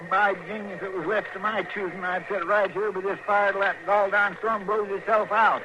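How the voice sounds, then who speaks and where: A man's voice: high voice, little pitch movement, a little fast, natural voice. A man playing a fretful, loyal frontier deputy in a western radio drama, with a twangy, rustic way of talking. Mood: grumbling. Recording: noisy old radio recording.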